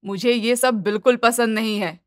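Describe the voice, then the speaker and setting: A woman's voice: on the high side, steady tone, normal speed, no echo, studio quality, close to an everyday voice. A woman voicing an impatient, scolding middle-aged mother-in-law in a cartoon family story. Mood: exasperated, scolding. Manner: scolding, exasperated. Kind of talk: animated moral story dialogue.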